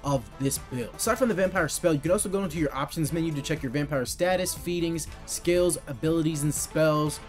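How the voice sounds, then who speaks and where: A man's voice: on the high side, fairly quick, hardly any pauses, a little mellow. A young man giving casual, slangy tutorial commentary as a gaming video host. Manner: informal, slangy, explanatory. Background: music.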